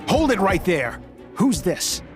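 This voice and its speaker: A suspicious guard. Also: male voice, sits high, sing-song, slow, heavily acted. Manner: bossy and suspicious. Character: gruff male guard, suspicious. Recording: game audio from far, with music.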